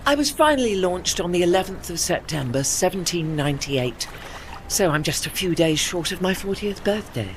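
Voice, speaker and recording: An actor voicing a proud, ageing warship in an audio play, with formal, dry, witty and faintly pompous diction. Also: male voice, sits high, expressive, normal speed, slight background noise. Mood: wistful, dry.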